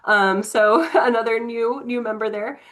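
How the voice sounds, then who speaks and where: A woman's voice: a little high, steady tone, a little slow, fairly bright tone. A young woman from Tennessee, congested from a cold, giving an upbeat, chatty introduction on a video call with many fillers. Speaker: prospective board member.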